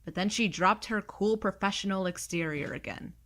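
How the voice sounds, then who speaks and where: A woman's voice: mid-range voice, moderate pace, fairly bright tone, lightly acted. A woman playing a sarcastic, blunt, reluctant young space traveller in an audio drama. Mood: wry narration. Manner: snarky, exasperated, blunt. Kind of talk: audio drama acting and narration.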